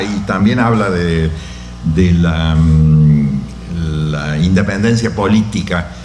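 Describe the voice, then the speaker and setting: A man answering audience questions after a lecture, slow and halting with many fillers. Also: sits low, darkish tone, roomy sound, slight background noise. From Argentina. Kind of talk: academic Q&A answer.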